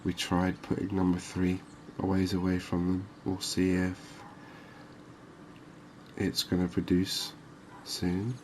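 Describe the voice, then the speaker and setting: Man, deep voice, monotone, calm ASMR gameplay host, unhurried, faint background ambience. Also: slight background noise. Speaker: gaming video host. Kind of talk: ASMR gameplay commentary on a fishing game.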